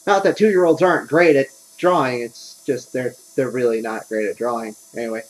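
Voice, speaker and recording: A man's voice: mid-range voice, moderate pace, poor audio. A man giving casual, wry, grumbling gameplay commentary as he plays. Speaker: game streamer.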